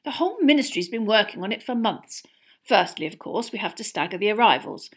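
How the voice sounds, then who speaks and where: A woman's voice: mid-range voice, sing-song, brisk. A woman voicing a mild, earnest middle-aged wizard father in a storybook, explaining patiently with slight hesitation. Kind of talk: acted audiobook dialogue.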